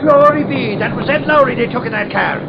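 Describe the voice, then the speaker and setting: An actor playing an excitable, gray-haired reporter and bodyguard with folksy, blustery talk in a 1940s radio drama. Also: male voice, extremely high pitch, fairly quick, muffled recording. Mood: alarmed.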